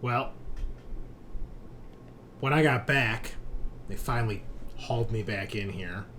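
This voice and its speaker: Man as bitter low-voiced female prisoner. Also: fairly bright tone, slight background noise. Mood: bitter, resentful. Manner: halting, grim, profane. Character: bitter, foul-mouthed female former space station manager, now prisoner. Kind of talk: tabletop game role-play.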